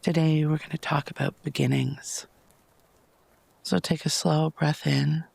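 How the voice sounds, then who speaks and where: A man with a slightly high-set voice guides a meditation in a soft, gentle tone full of pauses. Heavy vocal fry runs through his phrases, which often fade toward a whisper. The sound is close and dry. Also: level tone, no room sound. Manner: soft, breathy, lapsing into near-whispers; unhurried, gentle, with long pauses.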